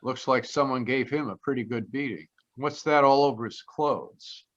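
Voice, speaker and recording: An actor playing a flippant, wry ex-cop private detective in a 1940s-style radio play. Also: male voice, mid-range voice, normal speed, lightly acted. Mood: dry, probing. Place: remote live performance over video call. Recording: dull, lo-fi video call audio.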